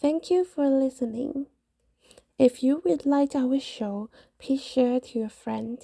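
A woman from Guangdong with a Cantonese accent hosts a podcast, reading a prepared script in a slow, measured way. Her voice is high and very dark and mellow, with a husky, breathy edge. It is recorded close and dry. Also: no echo. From Guangdong, China. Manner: careful, unhurried reading with occasional hesitations. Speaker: podcast host.

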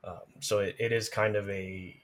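A man's voice: lowish voice, steady tone, normal speed. A man chatting casually as a guest, explaining video game play in detailed, analytical fashion. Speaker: podcast guest, gamer.

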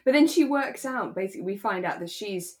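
A woman co-hosting a relaxed, chatty podcast, telling stories in a playful, jokey and animated way. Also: mid-range voice, fairly quick. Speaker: podcast co-host. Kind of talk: relaxed casual chat about a radio drama.

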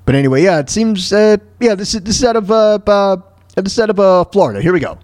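Higher-pitched man, opinionated radio host. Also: animated, darkish tone, dry recording, clean studio sound. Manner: informal, halting, repeats words and phrases for emphasis. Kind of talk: opinionated solo commentary on news clips.

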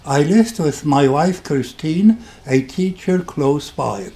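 An older man with a German accent, telling his own story on a podcast in a deliberate, wry and dryly sarcastic way. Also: on the high side, expressive, dark tone. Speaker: podcast host. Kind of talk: first-person storytelling podcast.

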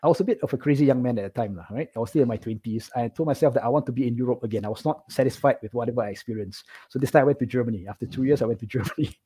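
A middle-aged Singaporean man speaks very quickly in a soft-edged, mellow voice with some huskiness. He tells his own stories in a casual, animated way, like a guest in conversation. He keeps checking in with the listener as he goes. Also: medium pitch, slightly rough. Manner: animated, checks in with "right?" often. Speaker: interview guest. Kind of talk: casual personal storytelling.

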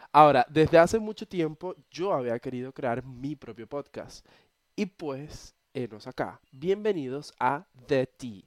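A man's voice: mid-range voice, no room sound, clean studio sound. A young man from Venezuela with a Venezuelan accent, hosting his own podcast from his bedroom in a chatty, playful way. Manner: chatty, expressive, playful asides to listeners. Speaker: podcast host. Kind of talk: casual solo podcast monologue.

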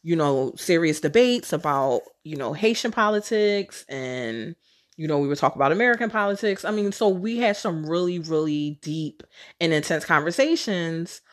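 Candid, chatty woman with a lowish voice. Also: animated, normal speed. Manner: chatty, candid, slangy, swears casually. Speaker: podcast host. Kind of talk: casual solo storytelling.

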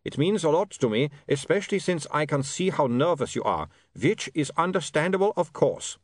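An eager-to-please reformed vampire, played by a male narrator, pitched well above a normal reading voice. The delivery is lively and formally polite, a little insistent, as in a storybook told aloud. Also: normal speed, no echo, clean studio sound. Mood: earnest, polite. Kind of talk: audiobook character voice.

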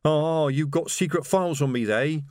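A man's voice: a little high, animated, quick pace, dry recording, studio quality, voice pushed up, lightly acted. A man voicing a fervent, suspicious young would-be revolutionary with an intense, accusing manner in an audiobook. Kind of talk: audiobook character voice.

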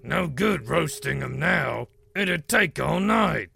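A man voicing a quarrelsome, rough troll with a harsh, gravelly rustic voice for an audiobook. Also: on the high side, lively intonation, slow pace, sharp tone, faint music behind, full character voice. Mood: grumbling. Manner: bickering, ungrammatical rustic speech. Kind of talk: audiobook character voice.

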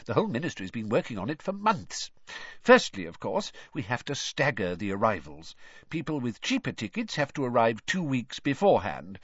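Man acting out cheerful middle-aged wizard father, storybook character, eager explainer, lively swooping intonation, husky edge. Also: medium pitch, no room sound, muffled recording, full character voice. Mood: eager, informative. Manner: brisk, enthusiastic, chatty explaining.

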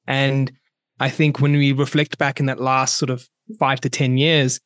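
A man's voice: medium pitch, level tone, unhurried, fairly bright tone, dry recording, clean studio sound. A man hosting a conversational podcast discussion, reflective and ready with his own opinions. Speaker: podcast host, property researcher.

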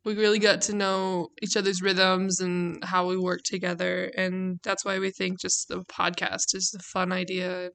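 Young american woman hosting a student podcast, bright crisp-edged voice, casual intro. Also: fairly low pitch, level tone, normal speed, hardly any pauses. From Utah, United States. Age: young adult.

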